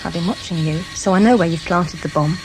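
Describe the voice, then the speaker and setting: Woman acting a stern, lowish-voiced guard. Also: a little fast, faint music behind, lightly acted, poor audio. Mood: threatening. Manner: commanding, threatening. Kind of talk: audio drama acting.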